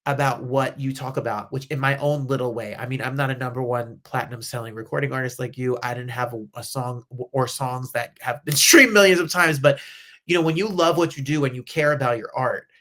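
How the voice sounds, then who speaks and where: A man's voice: mid-range voice, fairly quick, a bit bright. An American man hosting a casual interview, effusive and encouraging, often rephrasing his questions as he goes. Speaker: interview host.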